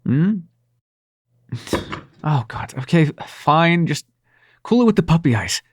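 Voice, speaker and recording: A man's voice: a little high, big pitch swings, slow pace, no room sound, studio quality, heavily acted. A man playing a cocky, sarcastic demon prince with a tender side in an intimate audio roleplay, speaking casually with teasing and swearing. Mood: playful, teasing. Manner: casual, sweary, teasing, hesitant when sincere.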